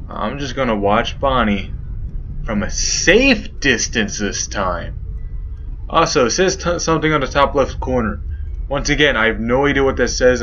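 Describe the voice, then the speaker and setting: A man's voice: medium pitch, fairly bright tone, dull audio. A young man doing rambling, joking commentary while playing a horror game. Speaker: gaming youtuber. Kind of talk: let's play commentary.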